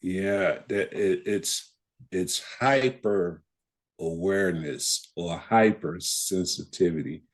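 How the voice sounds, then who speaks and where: A man's voice: deep voice, slow pace. A man taking part as a student in a meditation class, asking questions and offering comments conversationally.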